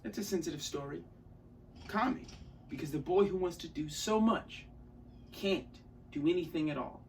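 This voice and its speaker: A young man acting an intense, articulate character in a theatrical monologue, with emphatic delivery and deliberate pauses. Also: a little high, lightly acted. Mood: intense, brooding. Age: young adult. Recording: distant mic, audible room noise.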